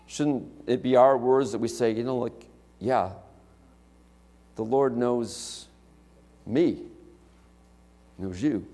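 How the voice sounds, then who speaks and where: A man's voice: mid-range voice, darkish tone. A middle-aged man preaching a sermon in a church, talking conversationally and checking in with listeners with "okay?" and "right?". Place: church sanctuary, large indoor hall. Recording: long reverb of a church hall.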